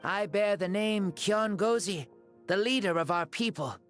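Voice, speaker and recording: A man's voice: sits high, measured pace, fairly bright tone, faint music behind. Game role: ancient warrior in a boy's voice, proud, good-humoured, faint score behind. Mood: proud, earnest. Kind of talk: game cutscene voice acting.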